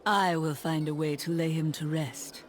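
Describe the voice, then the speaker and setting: A woman's voice: deep voice, fairly even intonation, moderate pace, a little hiss or hum, lightly acted. An actress playing an aloof female necromancer in a fantasy game, speaking in a composed, formal and solemn manner. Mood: cool, resolute.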